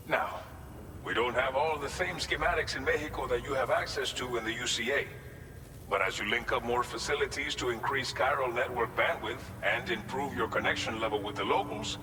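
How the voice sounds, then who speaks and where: A man's voice: mid-range voice, pushed and bright. A man playing a courteous, businesslike facility manager in a video game, explaining things politely. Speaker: mission giver. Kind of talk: scripted game cutscene dialogue. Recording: reverberant hall with clear background noise.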